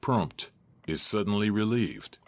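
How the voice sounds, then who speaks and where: A man with a very low voice narrates a scripted drama. He reads slowly, with plenty of movement in his pitch. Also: animated.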